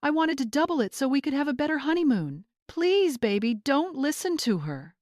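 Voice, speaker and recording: A scheming young bride from a melodramatic story, acted by a woman. Her voice jumps high and forceful. She is spiteful and defiant, recorded dry. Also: sing-song, moderate pace, dry recording. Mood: panicked, pleading.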